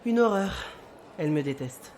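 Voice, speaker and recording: A woman's voice: on the low side, animated, moderate pace, darkish tone, a little hiss or hum, close to an everyday voice. An actress playing a self-assured, composed woman profiler in a French audio drama, formal and assertive. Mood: dry, resigned.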